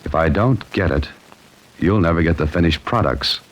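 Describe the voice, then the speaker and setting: An actor playing a wry, cool-headed amateur sleuth, with dry, clipped delivery in the style of an old-time radio mystery. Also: male voice, low voice, normal speed, rough recording. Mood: intent, puzzling. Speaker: narrator and lead character. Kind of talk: old-time radio mystery acting, hardboiled first-person narration.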